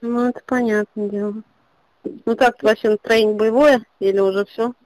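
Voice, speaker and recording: A woman's voice: a little high, fairly even intonation, moderate pace, a little mellow, no echo. A woman chatting casually and asking questions on an intercepted phone call.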